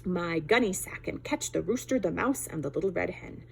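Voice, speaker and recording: A woman voicing a gruff, wicked big male fox in a read-aloud storybook. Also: on the low side, expressive, moderate pace, a little hiss or hum. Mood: scheming.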